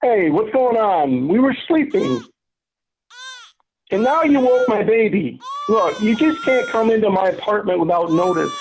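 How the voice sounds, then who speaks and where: An exasperated young parent and tenant acted by a performer in a radio play, protesting with indignation. The voice sits at a middling pitch, with faint noise on the recording. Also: sing-song, a little slow, a little mellow, poor audio. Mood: indignant, exasperated.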